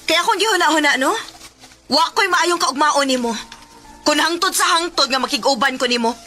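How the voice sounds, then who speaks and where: An actress with a Cebuano Filipino accent playing a bitter, hard-hearted young wife who nags and scolds in a radio drama. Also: female voice, high-pitched, moderate pace, sharp tone, soft background music. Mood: cold, resolute. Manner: scornful, nagging, scolding.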